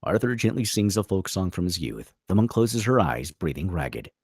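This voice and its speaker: Low, animated man narrating a screenplay. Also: moderate pace, husky, no room sound, clean studio sound. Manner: theatrical, punchy, clipped phrases. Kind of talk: dramatic reading of screenplay stage directions.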